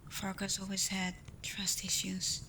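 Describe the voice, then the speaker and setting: A woman voicing a caring alpha werewolf in a soft, breathy ASMR roleplay, gentle and reassuring, confident but privately unsure. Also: medium pitch, unhurried. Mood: affectionate. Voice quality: soft, breathy, often near a whisper. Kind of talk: ASMR roleplay, soft intimate talk to the listener. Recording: clear steady ambient noise.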